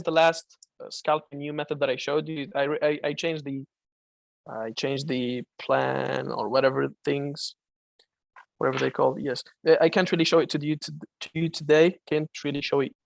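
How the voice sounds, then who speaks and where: A man with a Malaysian accent runs a live trading Q&A and chats loosely, with fillers and restarts. His voice sits a bit high and carries a gravelly rasp. The sound is dry and close. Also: dry recording. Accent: Malaysian English. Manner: casual, chatty, many fillers and restarts. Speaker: trading mentor.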